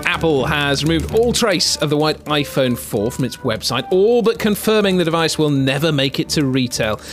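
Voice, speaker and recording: A man hosting a casual tech podcast, chatting with co-hosts and reading news items in a brisk presenter tone. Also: on the high side, animated, over music. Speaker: podcast host.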